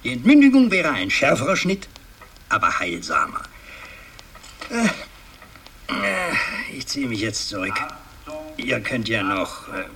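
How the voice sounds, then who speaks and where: An actor playing an imperious, scheming old aristocratic patriarch in a radio drama, declamatory and halting, moving between command and muttering. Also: male voice, mid-range voice, wildly swooping pitch, background noise. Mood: stern, halting. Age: older. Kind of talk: 1960s German radio drama acting.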